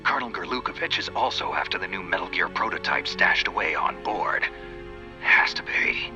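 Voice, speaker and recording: A man playing a gruff, sardonic covert soldier in a video game, with a growling, breathy voice and a wry, clipped delivery. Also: lively intonation, normal speed, muffled recording. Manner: wry, clipped, low-key. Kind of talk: dramatic video game voice acting. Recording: music playing behind the voice.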